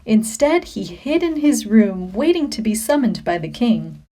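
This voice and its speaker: A woman reading a children's story like a teacher, with expressive, deliberate phrasing. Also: fairly high pitch.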